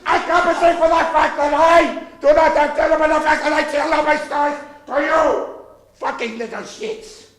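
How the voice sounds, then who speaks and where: A man's voice: very high-pitched, steady tone, moderate pace, fairly bright tone, roomy sound, voice pushed up. A man voicing a bitter, bossy mother goose who tells stories and swears at her listeners. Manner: domineering, swearing.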